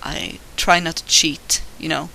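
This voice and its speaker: Bright high-voiced Swedish man, rambling. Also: steady tone, unhurried, creaky, slight background noise, low-quality recording. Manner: rambling, self-deprecating, swears casually. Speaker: digital artist narrating his drawing. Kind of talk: casual commentary over a drawing. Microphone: voice-over recorded while drawing.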